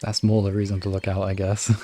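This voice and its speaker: A young man chatting casually on a livestream in a rambling way, sometimes slipping into soft baby talk. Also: sits low, monotone, a little fast. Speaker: live streamer.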